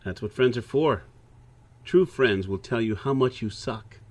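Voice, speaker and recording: A man's voice: mid-range voice, unhurried, natural voice. An actor playing a laid-back male buddy in an audio drama, teasing and sardonic in casual dialogue. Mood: teasing, friendly. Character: laid-back, teasing old high-school buddy, male video analyst.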